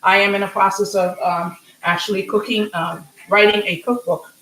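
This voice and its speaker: Woman from Senegal, 40s, home cook, rambling live-stream talk, slightly hoarse, mid pitch, faint background hum. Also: steady tone, normal speed, a bit bright, roomy sound, rough recording. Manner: chatty, rambling, frequent ums and "okay?". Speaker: home cook, channel host. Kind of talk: casual live cooking stream. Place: home kitchen. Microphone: computer or phone camera mic.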